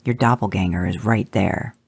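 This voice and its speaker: A man reading fan fiction aloud, voicing a solemn, stiff male angel with a flat delivery. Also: lowish voice, moderate pace, vocal fry, husky, dry recording, voice pushed down. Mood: grave.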